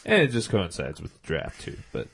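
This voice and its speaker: A man co-hosting a casual podcast chat, relaxed and often trailing off mid-thought. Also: low voice, a little fast, creaky, husky. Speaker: podcast co-host.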